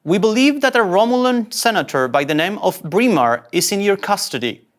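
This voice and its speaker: An actor playing an insecure, excitable fake starship captain, earnest and grandiose yet easily flustered, at a sci-fi table read. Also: mid-range voice, normal speed, close to an everyday voice. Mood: formal, pleading.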